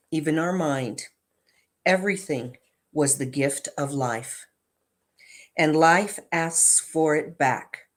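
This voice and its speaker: A woman with a low voice leads a spiritual reading. She takes her time, delivering the text slowly and deliberately in short, measured groups of words. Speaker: affirmation and reading leader. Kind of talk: scripted inspirational reading.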